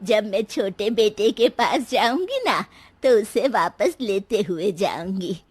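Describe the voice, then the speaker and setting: A woman voicing a sorrowful, wry old mother and grandmother in a moral story, plaintive and gently sarcastic. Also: mid-range voice, animated, slow pace, slightly rough, no room sound. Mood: wistful.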